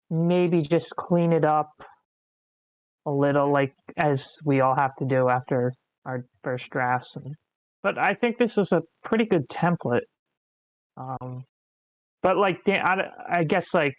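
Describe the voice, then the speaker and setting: A woman's voice: sits low, dry recording, rough recording. A woman giving casual, tentative, rambling feedback in a group discussion. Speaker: writing group member giving script feedback. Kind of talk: casual chat.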